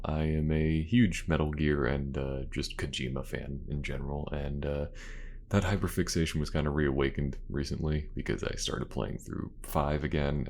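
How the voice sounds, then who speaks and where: A man's voice: a bass voice, vocal fry, a little mellow. A man who is a voice actor and channel host, speaking casually in an outro to his listeners.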